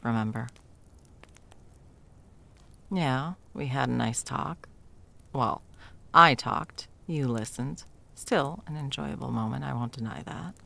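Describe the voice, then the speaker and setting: Woman playing a low, creaky, sarcastic Christmas elf. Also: heavily acted. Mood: wry, puzzled. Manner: sarcastic, hesitant, self-deprecating. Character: sarcastic, self-doubting female Christmas elf, bumbling delivery helper. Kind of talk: ASMR audio roleplay, one-sided intimate conversation.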